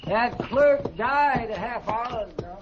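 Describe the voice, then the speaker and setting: A strikingly high, very slow male voice as a glum doctor in a western town. The delivery is drawn out, on a noisy old radio recording. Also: lively intonation, dull audio, full character voice. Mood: grim. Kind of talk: 1950s radio western acting.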